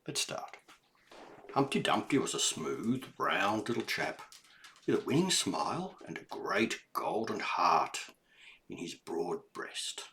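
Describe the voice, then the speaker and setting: An older man reading a children's story aloud, deliberate and emphatic, with explanatory asides to young listeners. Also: on the low side, sing-song, bright, forceful tone, a little hiss or hum.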